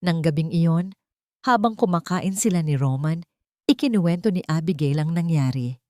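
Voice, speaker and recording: A woman's voice: low-pitched, expressive, normal speed, warm tone, no echo, clean studio sound. A woman narrating a scripted dramatic story as an audiobook narrator.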